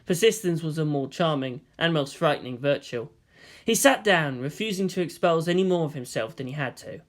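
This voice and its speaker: A man reading fan fiction as an expressive scripted narration. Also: a little high, brisk.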